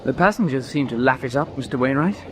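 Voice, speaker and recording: An actor playing a mild, loyal expedition doctor with an English accent and a polite, hesitant, conciliatory manner. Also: male voice, mid-range voice, animated, quick pace, background noise, close to an everyday voice. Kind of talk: period audio drama acting.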